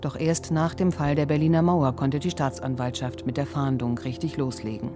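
Eine Frau trägt als Reporterin einen vorbereiteten Nachrichtenbericht vor. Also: female voice, a bass voice, steady tone, moderate pace. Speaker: news reporter. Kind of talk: scripted news report.

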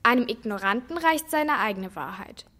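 Young girl, high voice, blunt, confident, loyal know-it-all best friend for a children's radio play. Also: animated, moderate pace, sharp tone. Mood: curious, frank. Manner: blunt, matter-of-fact, confident.

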